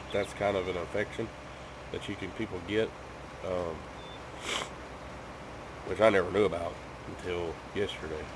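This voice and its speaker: A man's voice: fairly low pitch, noisy background. A man who is sick with a cough, chatting casually to his viewers at home in a rambling way, full of ums and restarts. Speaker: gaming YouTuber.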